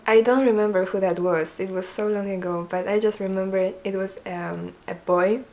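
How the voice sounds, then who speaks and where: A young woman with a soft, quiet voice talking casually in a chatty vlog, earnest and a little hesitant. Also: mid-range voice, fairly even intonation, moderate pace. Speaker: vlogger.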